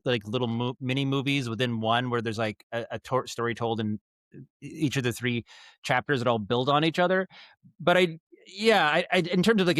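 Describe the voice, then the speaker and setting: Clear-voiced man thinking aloud. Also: medium pitch, fairly bright tone, no room sound, studio quality. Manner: halting, thinking aloud, circling back on thoughts. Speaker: podcast guest, writer and director of audio dramas. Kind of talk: casual conversational interview.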